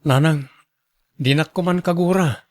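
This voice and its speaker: A man's voice: fairly high pitch, unhurried, close to an everyday voice. A man acting an earnest young student in a radio drama, with an Ilocano accent. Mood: protesting. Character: young student son, earnest, reassuring boyfriend.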